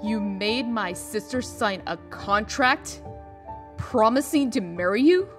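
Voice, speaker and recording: A woman playing a composed, businesslike official in a comic dub, with a measured manner. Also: fairly high pitch, lively intonation, faint music behind. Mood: taken aback.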